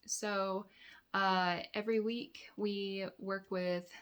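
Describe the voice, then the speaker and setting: A woman with a raspy, dry-throated voice giving a weekly tarot forecast, offering reflective, meandering guidance to her viewers. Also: medium pitch, little pitch movement, slow pace, fairly bright tone. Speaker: tarot reader and yoga therapist.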